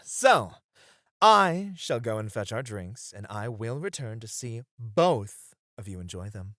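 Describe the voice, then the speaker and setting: A man's voice: lowish voice, sing-song, a little slow, sharp tone, dry recording, clean studio sound, heavily acted. A man playing a flirty, doting and cheekily possessive club host in an ASMR roleplay, suave and coaxing. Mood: gracious, attentive. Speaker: voice actor.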